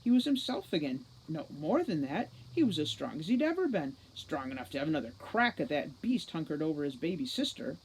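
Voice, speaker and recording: A woman giving an expressive reading of a fantasy novel aloud, like a teacher reading to a class. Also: deep voice, a little fast, hardly any pauses, slight background noise, low-quality recording.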